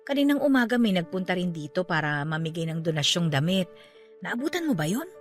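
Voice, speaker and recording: A low female voice over soft background music, a middle-aged aunt in a radio drama. She is grieving yet steadfast and caring, and talks in a natural, conversational way. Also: sing-song, a little fast. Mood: resigned, practical. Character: grieving aunt who just lost her parents, caring, steadfast. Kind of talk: Tagalog radio drama acting, naturalistic dialogue. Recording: music bed under the voice.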